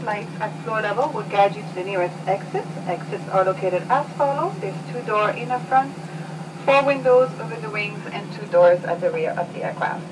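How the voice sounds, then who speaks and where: A woman's voice: mid-range voice, noisy background. Formal woman over distant cabin speakers. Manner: measured, formal, even delivery. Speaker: airline safety announcer. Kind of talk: scripted bilingual safety announcement.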